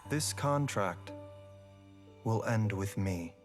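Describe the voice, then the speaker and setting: Composed, resolute gentle doctor. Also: male voice, fairly low pitch, lively intonation, takes it slowly, faint music behind. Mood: calm, reflective. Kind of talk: dramatic game voice acting.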